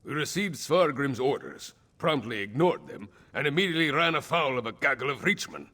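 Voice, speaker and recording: An actor playing a proud, weary, aging northern jarl in a video game, blunt and sardonic, with a gritty voice. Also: male voice, mid-range voice, lively intonation, fairly quick, bright, forceful tone, long reverb tail. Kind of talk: video game character acting.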